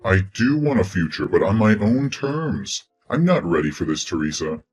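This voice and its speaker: A man's voice: low voice, very animated, moderate pace, faint music behind. A man playing a cold, career-minded young man. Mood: defensive. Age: 20s. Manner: defensive, dismissive. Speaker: reluctant boyfriend. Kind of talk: scripted relationship drama.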